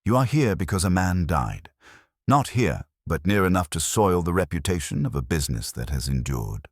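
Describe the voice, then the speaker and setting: A man voicing a very deep, imperious detective. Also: very animated, normal speed, husky, dry recording, clean studio sound, exaggerated voice. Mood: stern, formal. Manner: pompous, formal, accusatory. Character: stern, imperious male detective genius passing judgment.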